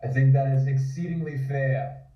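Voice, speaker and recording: An actor playing a wry, roguish 1920s charmer with casual, slangy talk, in a table read. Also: male voice, mid-range voice, fairly even intonation, moderate pace, close to an everyday voice. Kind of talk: comic script table read. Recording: echoey, distant, muffled call audio.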